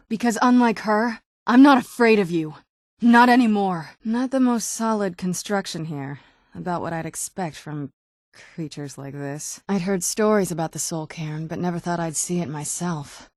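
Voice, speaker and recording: A woman's voice: lowish voice, darkish tone, no room sound. A woman voicing a young-looking vampire noblewoman companion in a video game, wry and guarded, with a dry conversational delivery. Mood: sharp, defiant. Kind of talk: video game character dialogue.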